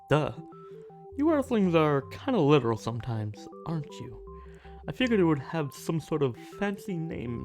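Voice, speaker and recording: A woman playing a shy, snarky, touch-starved alien in an ASMR roleplay audio drama, with a halting, flustered delivery. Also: deep voice, heavily acted. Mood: awed, then teasing, shy. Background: soft music.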